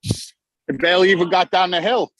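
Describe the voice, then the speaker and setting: A man's voice: high-pitched, fairly even intonation, normal speed, fairly bright tone, dry recording, low-quality recording. A man giving casual, chatty commentary at a baseball game. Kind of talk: casual sports chat.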